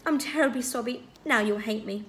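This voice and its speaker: A woman's voice: medium pitch, lively intonation, quick pace, natural voice. A woman voicing an anguished, self-doubting young teenage girl in a storybook, with a trembling, emphatic delivery. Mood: tearful, miserable.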